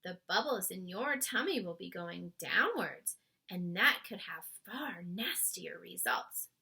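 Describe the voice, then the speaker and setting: A woman's voice: fairly low pitch, expressive, takes it slowly, vocal fry, sharp tone, husky, pitched below the performer's own voice, heavily acted. A woman voicing a polite, curious young girl in a storybook, with a light, patient, prim delivery.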